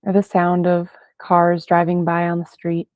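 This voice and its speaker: A woman leading a guided meditation in gentle, unhurried phrases with long pauses between them. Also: on the low side, monotone, no echo. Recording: band-limited, phone-like sound, dry.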